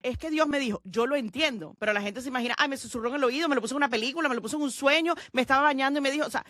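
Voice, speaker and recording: A woman hosting a podcast interview, asking questions in an animated way and acting out quoted speech. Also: sits high, very fast, no room sound, clean studio sound. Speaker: podcast host. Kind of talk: conversational interview.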